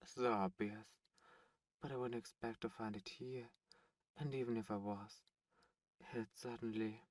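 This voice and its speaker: A man playing a smug, teasing demon in an ASMR roleplay, speaking in a taunting, deliberate way with drawn-out pauses. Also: mid-range voice, no echo, distant mic, close to an everyday voice. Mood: intrigued. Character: smug teasing male demon buyer at a captive auction. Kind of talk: ASMR roleplay audio drama.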